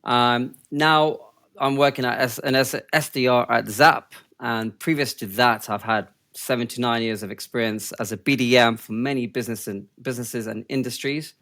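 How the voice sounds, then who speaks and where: A man's voice: mid-range voice, steady tone, moderate pace, fairly bright tone. A man in his thirties from Kenya, living in London, giving conversational answers as an interview guest. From born and raised in Kenya, later moved to London. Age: 30s. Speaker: podcast guest, sales development rep. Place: home office.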